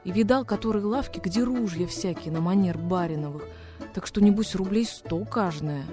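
A woman's voice: medium pitch, moderate pace, over music, close to an everyday voice. A woman playing a homesick young peasant orphan boy in a radio drama, plaintive and naive, with rustic folk speech. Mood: naive wonder. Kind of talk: dramatic radio reading of a classic story.

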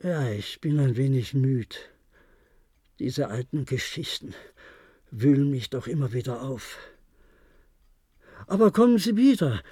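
An actor playing a weary, pensive old man in a German radio play, speaking in a halting, deliberate way. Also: mid-range voice, animated, warm tone, slightly rough, no echo, studio quality. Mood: weary, courteous. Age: older. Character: weary old former diplomat, pensive and insistent.

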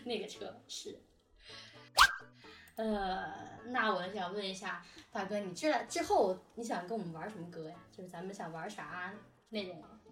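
A roomy recording from a little way off, with faint music underneath. A woman with a fairly high voice hosts a casual chat. She is informal and somewhat giggly as she asks questions and talks about production. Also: moderate pace. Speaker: interviewer, drama producer. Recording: roomy, distant mic, faint music behind.

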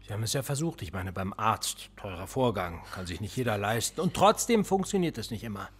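Police interrogator, husky, calm, needling, radio drama. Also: male voice, lowish voice, a little fast. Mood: cold. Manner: calm, needling, probing questions. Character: male police interrogator, cold, probing. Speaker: police investigator.

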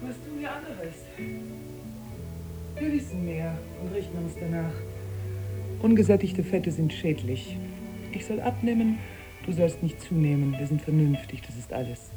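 An actress playing a sober, resentful wife with a dry, reproachful manner in a German radio play. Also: female voice, lowish voice, expressive, moderate pace, pauses often, music in the background, natural voice, rough recording. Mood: cool, reproachful. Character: sober, cutting wife, resigned yet resentful.

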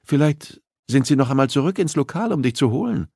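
A man's voice: medium pitch, expressive, a little fast, creaky, warm tone, breathy edge, no room sound, studio quality, voice pushed up. A man voicing a concerned, helpful mother in an audiobook, questioning and solicitous. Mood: puzzled, concerned. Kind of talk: audiobook character voice.

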